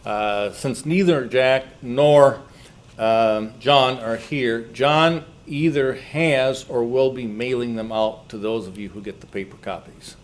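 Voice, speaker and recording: A man chairing a club meeting, giving informal announcements in a halting, rambling way. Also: medium pitch. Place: indoor club meeting room. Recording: faint background noise in meeting room.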